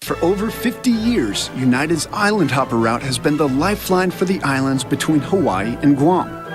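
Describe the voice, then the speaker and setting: A man's voice: fairly high pitch, expressive, moderate pace. A man narrating a scripted airline promotional film. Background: music.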